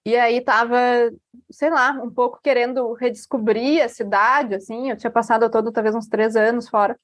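A woman's voice: on the high side, steady tone, moderate pace, dry recording. A woman from Brazil, a writer chatting casually in an interview, rambling with many fillers. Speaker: author guest.

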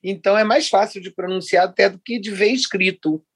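A woman's voice: mid-range voice, steady tone, a little fast, breathy edge. A woman in her sixties from Rio de Janeiro, a writer chatting as a guest in an interview, talking in long digressions and laughing often. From Rio de Janeiro, Brazil. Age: 60s. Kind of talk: casual interview chat.

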